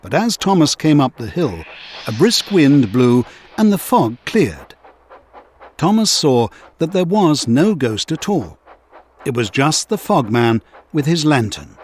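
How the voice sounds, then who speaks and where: Expressive male children's storybook narrator. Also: fairly high pitch, a little slow, slightly rough.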